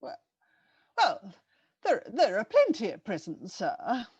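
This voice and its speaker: A woman's voice: medium pitch, huge pitch swings, takes it slowly, darkish tone, husky, no echo, highs cut off, full character voice. A woman playing a polite, slightly flustered charity fundraiser in a radio-style drama, courteous, earnest and hesitant. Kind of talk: vintage radio drama acting.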